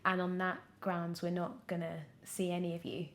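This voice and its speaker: A woman's voice: on the low side, moderate pace, a little hiss or hum. A young British woman telling anecdotes casually in an interview, chatty and giggly, often acting out what was said. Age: young adult. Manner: chatty, animated storytelling with self-quotes and giggles. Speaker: actor, podcast guest.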